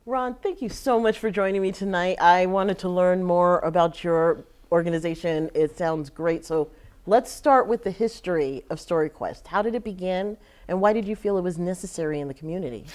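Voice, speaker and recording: A woman's voice: on the low side, normal speed, darkish tone. A woman speaking as a television interviewer, polite and affirming with the guest. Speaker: TV interviewer and host. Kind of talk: broadcast interview.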